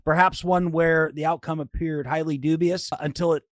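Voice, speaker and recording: A man's voice: a little high, little pitch movement, moderate pace, dry recording. Male podcast interviewer, dry close sound. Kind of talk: conversational interviewing.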